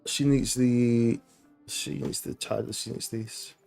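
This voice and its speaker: A man's voice: mid-range voice, fairly even intonation, takes it slowly, vocal fry, darkish tone. A man doing casual live gameplay commentary, thinking aloud in slangy, repetitive phrases. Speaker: game livestreamer. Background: faint game music.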